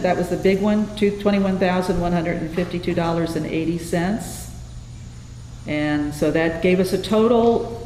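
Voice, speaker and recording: A plain-spoken, folksy woman chairing a board meeting informally and reading out figures, self-deprecating as she goes. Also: fairly low pitch. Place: indoor public meeting room. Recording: echoey hall, loud room noise.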